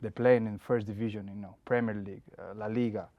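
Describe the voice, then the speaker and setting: A man's voice: fairly low pitch, fairly even intonation, fairly quick, no room sound, phone-quality sound. A young man from Spain, answering interview questions as a head coach in a plain, earnest way with frequent "uh" and "you know". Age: young adult.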